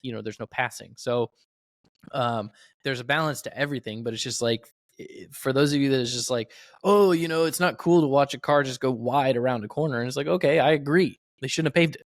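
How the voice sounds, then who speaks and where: A man's voice: mid-range voice, fairly quick, no echo. An American man co-hosting a motorsport podcast, talking casually and arguing his point with rhetorical questions. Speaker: podcast co-host and professional racing driver.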